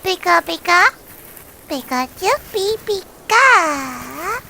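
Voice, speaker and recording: Cute little electric mouse creature, squeaky high voice, slow drawn-out syllables, affectionate, cartoon pet style, background ambience. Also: male voice, sing-song, vocal fry, pushed and bright, a little hiss or hum, exaggerated voice. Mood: happy, excited. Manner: squeaks only its own name in drawn-out syllables.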